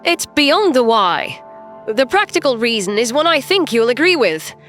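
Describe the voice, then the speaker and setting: A woman playing a composed human starship captain in a sci-fi audio drama, earnest, measured and persuasive. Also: a little high, sing-song, a bit bright, soft background music. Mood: reasoned, firm. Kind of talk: audio drama character acting.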